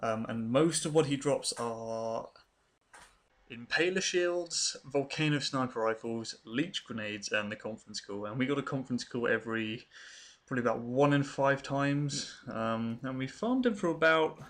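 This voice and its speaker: A man's voice: mid-range voice, lively intonation, moderate pace. A British man giving casual, unscripted game showcase commentary in an informal, hesitant and understated way. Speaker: gaming video creator and host.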